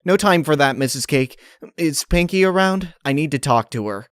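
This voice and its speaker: A man's voice: a little high, lively intonation, moderate pace, smooth, no echo, studio quality, voice pushed up, natural voice. A man voicing a brash young female pony with an urgent, brusque manner. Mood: hurried.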